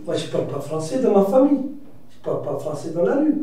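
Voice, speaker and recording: A Mauritanian writer speaking reflectively as a debate guest, pausing and restarting often. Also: male voice, high voice, sing-song, dark tone. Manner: reflective, hesitant, many restarts and fillers. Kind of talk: debate discussion. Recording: echoey room from far off.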